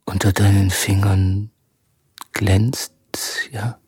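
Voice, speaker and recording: An actor playing a poor, harried soldier and father in a radio drama, speaking in a halting, brooding way. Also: on the low side, little pitch movement, a little mellow, husky, no room sound, studio quality, exaggerated voice. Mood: suspicious.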